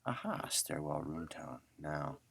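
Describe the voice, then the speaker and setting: A man's voice: extremely low pitch, very animated, slow, gravelly, a bit bright, husky, no echo. A man giving a casual audio editing tutorial, informally muttering to himself as he works. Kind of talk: casual screen-recorded software tutorial.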